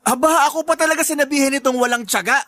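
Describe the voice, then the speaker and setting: High-voiced, sneering older brother. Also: male voice, very fast, bright, forceful tone, no echo. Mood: indignant. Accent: Filipino. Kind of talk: Tagalog radio drama acting.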